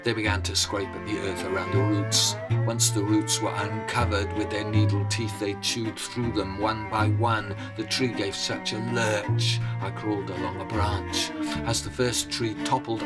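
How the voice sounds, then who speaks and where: A man telling stories in a dramatic, theatrical style with deliberate phrasing. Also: lowish voice, steady tone. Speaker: storyteller. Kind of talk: dramatic oral storytelling. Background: prominent music.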